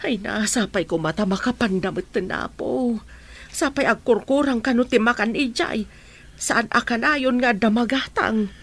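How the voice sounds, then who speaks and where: A slightly high female voice from an actress plays an anxious mother of grown children. She is fretful and pleading, in the style of Ilocano radio drama. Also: measured pace. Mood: worried.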